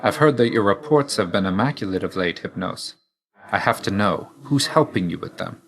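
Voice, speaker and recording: A deep-voiced god of death for a video game. He is dutiful and reserved, with a measured, formal delivery. His manner stays understated, weary yet quietly fond. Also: animated, gravelly. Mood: stern. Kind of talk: video game character voice lines.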